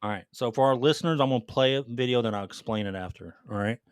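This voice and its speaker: A man's voice: mid-range voice, fast talker, smooth, no echo, studio quality. A man co-hosting a casual gaming podcast, talking in a slangy, profane, enthusiastic way. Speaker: podcast co-host. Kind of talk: casual chat about video games.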